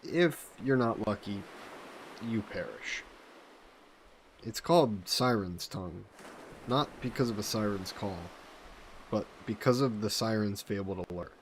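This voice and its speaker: A man playing a possessive sea monster in a roleplay audio drama, speaking in measured, formal phrases that are coolly threatening and flirty. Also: lowish voice, animated, a little hiss or hum. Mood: flirty menace. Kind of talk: scripted roleplay audio drama, intimate monologue.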